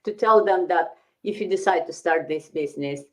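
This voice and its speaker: Low-voiced woman hosting, encouraging. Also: normal speed. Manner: encouraging, thinks aloud with many fillers and tag questions. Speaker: host. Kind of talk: casual business talk show.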